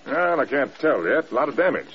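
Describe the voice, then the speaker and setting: Male actor as driven American general, clipped commands, very lively pitch, radio drama, muffled old recording. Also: brisk, natural voice. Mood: weary, terse. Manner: terse, commanding. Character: driven, clipped American air force general leading bomber missions. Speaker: lead actor. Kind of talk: mid-century radio drama acting. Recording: muffled old radio broadcast, loud aircraft noise.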